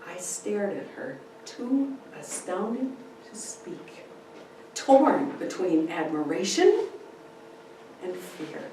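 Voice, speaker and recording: A woman giving a theatrical, deliberate dramatic reading of a first-person story. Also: fairly low pitch, very animated, warm tone. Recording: echoey room, distant mic, faint noise.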